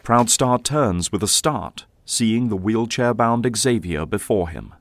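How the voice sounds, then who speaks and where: A male narrator tells a story in scripted, dramatic style. His voice is on the low side and recorded close and dry, with no room sound. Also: animated, unhurried, dry recording.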